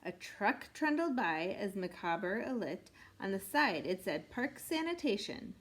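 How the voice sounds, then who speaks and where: A woman reading a rhyming picture book aloud in an expressive, measured storytelling way meant for children. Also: medium pitch. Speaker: storybook reader.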